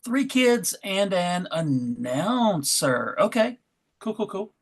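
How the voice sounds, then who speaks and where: A man casually hosting a table read, informal and a bit halting as he talks. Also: high-pitched, very animated, breathy edge.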